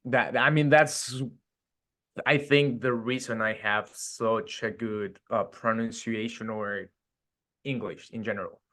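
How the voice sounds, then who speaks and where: Man, from Mexico, native Spanish speaker, relaxed, fillers and restarts, interview guest. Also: fairly low pitch, takes it slowly. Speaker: gym owner, guest. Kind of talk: casual interview chat.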